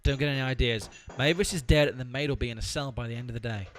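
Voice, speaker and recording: A man's voice: medium pitch, fast talker, natural voice. A man playing a dry, sardonic, slightly bossy straight man in an amateur comedy audio drama. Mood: brisk, suspicious.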